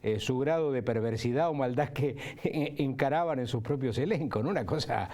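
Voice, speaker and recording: A man's voice: medium pitch, moderate pace, a little mellow. A man with an Argentine accent reminisces reflectively in an interview, often ending phrases with a tag question.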